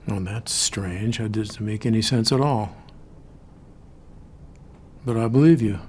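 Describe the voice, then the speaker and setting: A man's voice: on the low side, slow, darkish tone, slight background noise. Stern male boss. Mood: firm, regretful. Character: stern male superior officer, firm, measured, reluctantly disciplining. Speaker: boss of an agent. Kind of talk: audio fiction drama acting.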